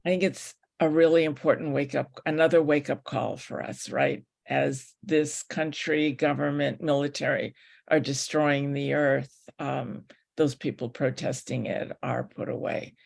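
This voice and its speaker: A man's voice: medium pitch, steady tone, a little slow, creaky. A man moderating an online meeting, talking informally and adding his own comments. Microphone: video call.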